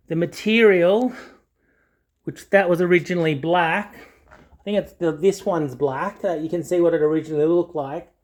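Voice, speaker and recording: A man giving a casual, unscripted video walkthrough in a hesitant, rambling way, often correcting himself. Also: a little high. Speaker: audio channel host. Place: indoors, small home study.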